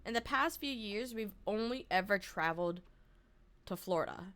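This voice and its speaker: A woman's voice: medium pitch, expressive, moderate pace, a bit bright, clear, resonant tone. A young woman streamer with a slightly scratchy throat, chatting casually and giggling with her live chat. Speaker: VTuber streamer. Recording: music playing behind.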